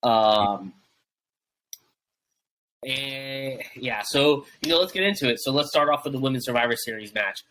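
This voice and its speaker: A man's voice: mid-range voice, measured pace, pauses often, a bit bright, slight background noise, poor audio. A young man co-hosting a wrestling podcast, chatting casually and rambling with lots of fillers and restarts. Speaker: podcast co-host.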